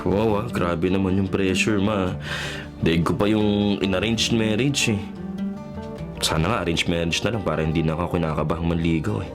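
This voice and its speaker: A man's voice: deep voice, fairly even intonation, normal speed, music in the background, lightly acted. A man playing a flustered, lovestruck young son in a Filipino radio drama, complaining in a Filipino accent. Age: young adult.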